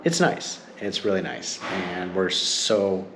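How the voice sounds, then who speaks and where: A man's voice: deep voice, sing-song, slow. A man talking casually as he films a family travel vlog. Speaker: travel vlogger, father. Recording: noisy handheld vlog, street and ambient noise.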